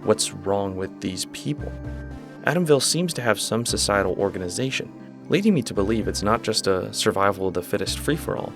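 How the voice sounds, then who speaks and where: A man's voice: on the low side, a little fast. A man hosting a video, casually breaking down a horror series in a conversational manner. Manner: relaxed, conversational, enthusiastic asides. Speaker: YouTube host explaining a horror series. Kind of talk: casual analysis commentary. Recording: voice-over with music behind.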